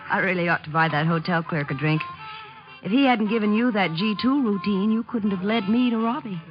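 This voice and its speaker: An actress playing a fearless, flirtatious star reporter with cocky, slangy banter in a 1950s radio drama. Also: female voice, medium pitch, a little fast, soft background music, lightly acted. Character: brash, fearless, flirtatious, hard-drinking female star reporter. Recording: muffled old 1950s radio recording.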